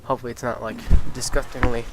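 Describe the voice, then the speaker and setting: A man's voice: mid-range voice, fast talker. Casual gamer guy with a sniffly cold, rambling and swearing through his commentary, faint hiss behind. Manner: rambling, with many ums and uhs, and swears freely; gets excited and incredulous about game balance. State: stuffy, sniffly nose. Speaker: gaming YouTuber.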